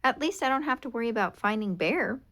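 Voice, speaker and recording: A woman's voice: a little high, lively intonation, fast talker, a bit bright, pitched above the performer's own voice. A woman voicing an eager, playful young boy turtle in a storybook read-aloud.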